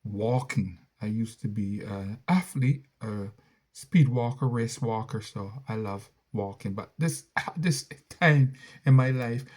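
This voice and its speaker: A man's voice: lowish voice, darkish tone. A middle-aged man with a Barbadian accent, a content creator casually answering viewer questions in a rambling, self-correcting way. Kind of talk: casual Q&A monologue.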